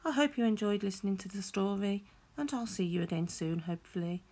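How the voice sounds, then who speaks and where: A woman reading a rhyming storybook to children in an expressive, rhythmic way, like a teacher. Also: mid-range voice, brisk. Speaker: primary school teacher reading aloud.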